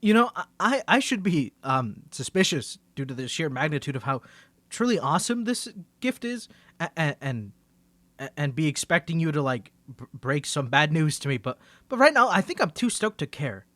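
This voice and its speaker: A man's voice: a little high, sing-song, fairly bright tone, no echo. A man playing a nervous, bantering young man with a secret superhero identity, stammering through an ASMR roleplay to the listener. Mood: excited. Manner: stammering, flustered, halting.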